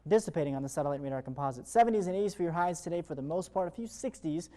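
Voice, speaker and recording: A man's voice: a little high, very fast, warm tone. A man presenting a live morning weather forecast as a television meteorologist, in upbeat, ad-libbed broadcast patter.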